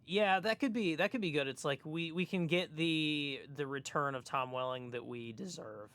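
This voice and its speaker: A man co-hosting a podcast in casual chat, with a hesitant, halting way of talking. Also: on the high side, fairly bright tone. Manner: hesitant, halting, repeats word starts. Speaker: podcast co-host.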